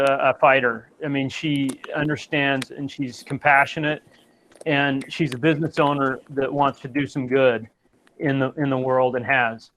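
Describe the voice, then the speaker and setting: A man's voice: mid-range voice, a bit bright. Earnest man hosting an event. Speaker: event host. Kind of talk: thanking a guest speaker.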